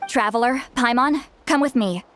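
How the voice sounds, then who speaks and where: Game shaman, played by a woman: female, self-assured, matter-of-fact, fairly high voice. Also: moderate pace, a bit bright, a little hiss or hum, natural voice. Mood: brisk, composed. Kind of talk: video game voice acting. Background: game music.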